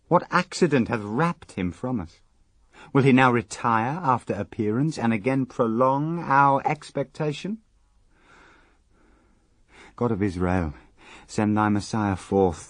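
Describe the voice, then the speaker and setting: A man's voice: mid-range voice, no echo, studio quality. A man playing an anguished, devout fisherman disciple, lamenting and declaiming solemnly in radio verse drama. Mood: perplexed, then fervent pleading.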